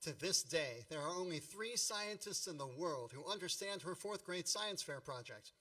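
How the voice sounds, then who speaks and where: Male radio play narrator, an actor, wry and nostalgic, mock-grand delivery, highish bright voice, a little off-mic. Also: lively intonation, normal speed, recorded from a distance. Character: grown man wryly recalling his Indiana boyhood.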